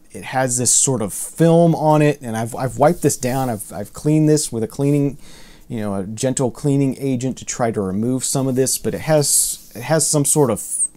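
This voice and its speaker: A man in his thirties casually reviewing vinyl records to camera in a room lined with record shelves, talking in a loose, rambling way with lots of fillers and restarts. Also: mid-range voice. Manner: relaxed, rambling, many fillers and restarts, mildly exasperated. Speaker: vinyl collector vlogger.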